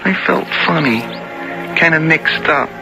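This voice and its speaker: An actor in a 1970s radio drama playing an earnest, hesitant boy who loves nature, with a halting, stammering delivery. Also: a little high, expressive, creaky, poor audio. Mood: confiding, wistful. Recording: old radio broadcast with music.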